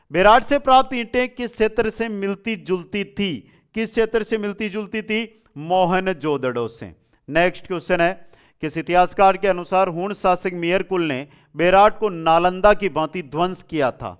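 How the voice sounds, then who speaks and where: Guy w a doing quiz style exam lesson, high clear voice, repeats stuff for students. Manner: repeats questions and answers, addresses students directly. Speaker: online exam-prep teacher. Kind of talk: question-and-answer quiz lesson.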